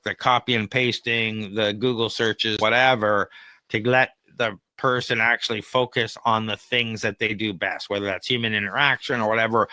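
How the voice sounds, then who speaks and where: A man's voice: medium pitch, pushed and bright, smooth, dry recording. A man co-hosting a podcast, giving opinions in casual conversation and explaining them with everyday examples. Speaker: podcast co-host and AI consultant.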